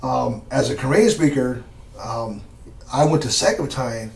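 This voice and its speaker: A man's voice: mid-range voice, moderate pace, creaky, far from the mic. Man, easygoing, slangy storyteller, lots of fillers, roomy echo, a bit off mic. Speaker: former Green Beret guest. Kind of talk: casual storytelling interview.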